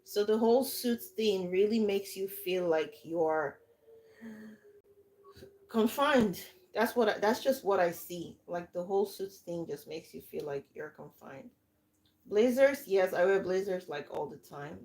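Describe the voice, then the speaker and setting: A woman's voice: mid-range voice, a little slow, low-quality recording. A woman from Nigeria with a Nigerian accent, hosting a livestream and giving casual, emphatic advice that often repeats itself. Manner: lively, emphatic, repeats herself. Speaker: livestream host and coach.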